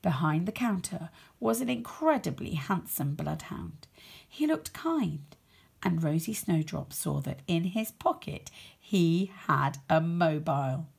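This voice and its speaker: A woman reading a children's story aloud as a storyteller, expressive, with dramatic emphasis. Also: on the low side, measured pace, creaky, husky.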